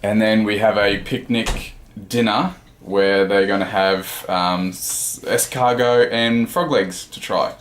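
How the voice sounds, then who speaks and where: A man casually narrating his travel vlog into a handheld camera, relaxed and chatty, moving between buses, a ferry and a small room. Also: on the low side, slow. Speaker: vlogger. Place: on the move: bus, ferry, small hotel room. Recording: busy crowd and noise behind.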